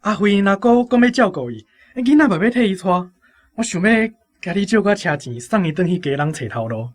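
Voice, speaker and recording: A high-voiced, hot-blooded young soldier. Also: male voice, lively intonation, a little fast. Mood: earnest. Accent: Taiwanese. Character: hot-blooded young male soldier, earnest about his sweetheart. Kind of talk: radio drama acting.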